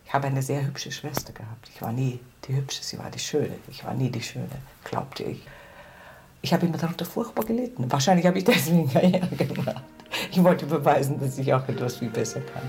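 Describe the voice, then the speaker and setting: Low-voiced older woman, confessional. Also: animated, brisk, over music. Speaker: interviewee, career performer. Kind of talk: confessional documentary interview.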